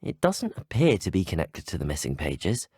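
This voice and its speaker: An earnest teenage student wizard, the helpful hero of a fantasy video game. His British voice sits fairly low with a husky grain and moves through expressive rises and falls. He speaks politely and with measured, well-spoken curiosity. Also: no room sound, studio quality, full character voice. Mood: musing, determined. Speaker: video game player character. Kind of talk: scripted game voice acting.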